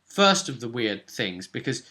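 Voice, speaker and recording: A man speaking as game master, casually recapping a tabletop role-playing session in an animated, explanatory way. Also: mid-range voice, measured pace.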